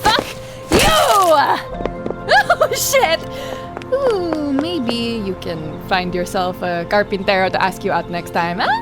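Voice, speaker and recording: A woman's voice: a little high, lively intonation, takes it slowly, loud music behind the voice. A woman playing a flamboyant, confident and teasing best friend in an audio drama, theatrical. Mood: playful, urging. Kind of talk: full-cast audio drama acting.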